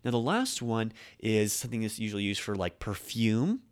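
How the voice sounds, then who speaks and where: Upbeat man teaching with a low voice. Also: sing-song, normal speed, dry recording. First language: English. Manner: upbeat, conversational explanations. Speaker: podcast host teaching Japanese. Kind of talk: casual language lesson.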